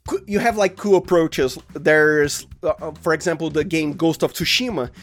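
A man's voice: on the high side, slight background noise. A man with a Brazilian accent and Portuguese as his first language chats casually as an interview guest, hesitating and restarting words as he goes. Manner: hesitant, halting with repeated word starts. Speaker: podcast guest, sound designer and voice actor.

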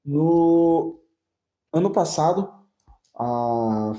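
A young man chats in an animated, slangy way and swears freely. His voice sits a little high but sounds mellow and warm. The room around him is echoey. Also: very slow. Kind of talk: casual chat.

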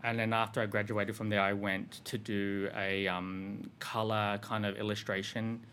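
An Australian man in his thirties talks through his answers in a bright, lower-pitched voice. He rambles loosely, leaning on filler words, in relaxed interview chat. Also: moderate pace, slight background noise. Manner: rambling, filler-heavy with frequent "like" and "um". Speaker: fashion designer guest.

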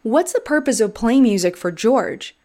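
A woman hosting her own podcast, with a fairly high voice. She reads her introduction from a script and then turns to easy, encouraging conversation with her guest. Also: animated, moderate pace, a little mellow. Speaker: podcast host.

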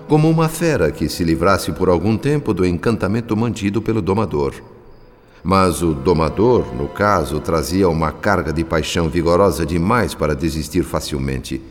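A man's voice: sits low, in a large hall. A man narrating a radio soap opera from a script in a solemn, theatrical, literary manner.

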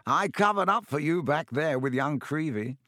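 A man voicing a vain, jovial teacher who booms with pompous, paternal self-satisfaction. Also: lively intonation, normal speed, pushed and bright, no room sound, studio quality. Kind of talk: audiobook character voice.

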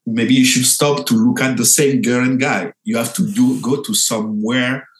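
A young man with a French accent, a dancer telling his story in an interview, animated and full of halting restarts. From France. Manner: animated, lively pitch jumps, halting restarts.

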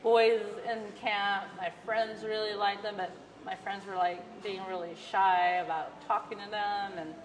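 A woman's voice: mid-range voice, fairly even intonation, unhurried, few pauses. A woman in her thirties from the Philippines, hosting a casual chat over coffee as a chatty storyteller who laughs often. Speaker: podcast host. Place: coffee shop. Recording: reverberant room with background noise.

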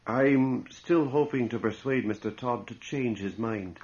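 An actor playing an earnest, disapproving and anxious priest in an old-time radio horror drama. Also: male voice, medium pitch, unhurried, a little mellow, lightly acted. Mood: grave warning. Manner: earnest, solemn. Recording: dull lo-fi old radio recording.

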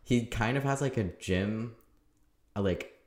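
A young man co-hosting a casual TV recap chat, talking in a monotone, rambling with lots of fillers and frequent laughs. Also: fairly low pitch, unhurried. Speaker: podcast co-host. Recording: echoey room.

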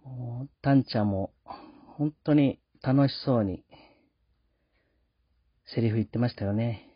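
Man, host, husky, polite, reflective, halting, close dry dull sound. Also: medium pitch, no echo. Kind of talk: casual podcast sign-off monologue.

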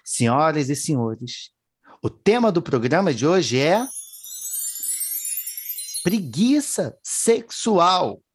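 Male host, theatrical, joking, quick to apologize. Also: on the high side, sing-song, breathy edge, soft background music. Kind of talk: playful talk show hosting.

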